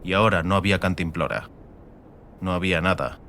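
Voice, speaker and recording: A man's voice: sits low, brisk, sharp tone, slight background noise. A man reading a scripted, dramatic fantasy audiobook narration.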